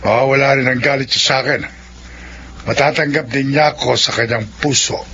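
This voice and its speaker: A gravelly, mid-pitched Filipino man as a lovesick, scheming shapeshifter in a radio horror drama. He is theatrical and confident, over a dull recording with a faint hum. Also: fairly even intonation, brisk, sharp tone. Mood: smug, confident. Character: scheming, lovesick male aswang confident of his conquest.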